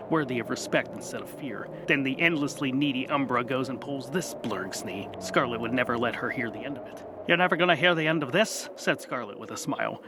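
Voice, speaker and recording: A man's voice: medium pitch, very noisy. A man narrating a comic fantasy serial in a wry, theatrical, dramatic storytelling style.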